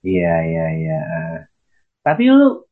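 A man's voice: low-pitched, wildly swooping pitch, slow. A male podcast host from Indonesia asking questions in a chatty, conversational way. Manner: conversational, chatty, laughs easily. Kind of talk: casual podcast interview. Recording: tinny phone-line sound.

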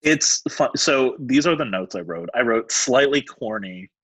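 A young man co-hosting a music podcast, talking casually with many fillers and pauses. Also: mid-range voice, animated, a bit bright, slightly rough, dry recording. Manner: hesitant, filler-heavy, reads out his notes. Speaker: podcast co-host. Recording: dull, dry lo-fi mic.

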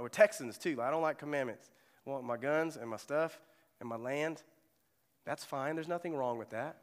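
Man preaching a sermon in a reverberant hall. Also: fairly high pitch. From Germany. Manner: conversational, asks the congregation questions. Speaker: pastor. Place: church sanctuary.

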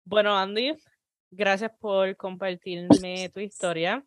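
A woman's voice: mid-range voice, steady tone, slow pace, no echo. A woman with a Caribbean Spanish accent hosting a podcast interview, listening attentively and asking questions. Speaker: podcast host.

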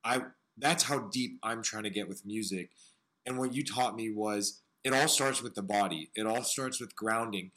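Earnest raspy man with a bright edge. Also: fairly low pitch, moderate pace. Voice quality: raspy, smoky voice. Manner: earnest, searching, piles up phrases. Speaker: podcast host. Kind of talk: reflective interview talk.

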